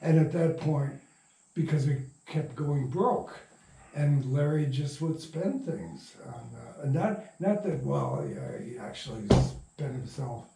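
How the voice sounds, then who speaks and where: Slow, halting older man in a roomy space. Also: a little high, lively intonation, vocal fry, noisy background. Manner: halting, rambling, self-interrupting. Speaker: interviewee, radio play writer and producer. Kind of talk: reminiscing in a casual interview. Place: room in a house. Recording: roomy echo, distant from mic.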